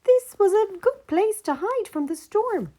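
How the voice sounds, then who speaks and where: A woman's voice: extremely high pitch, lively intonation, unhurried, dark tone, pitched above the performer's own voice. A woman voicing a small, friendly female mouse in a children's storybook, eager and polite.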